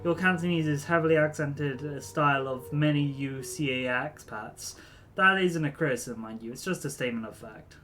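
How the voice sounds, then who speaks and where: A man voicing a weary middle-aged male drone engineer, reading game dialogue aloud in a measured, formal, slightly halting way. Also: a little high, a bit bright, faint music behind, close to an everyday voice.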